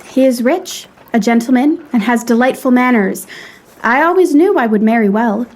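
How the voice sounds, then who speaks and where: A woman's voice: on the high side, normal speed, darkish tone, close to an everyday voice, rough recording. A woman playing a proud, frank young lady in a period audio drama, speaking in a refined, articulate and earnestly reproachful way. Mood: frank, disdainful.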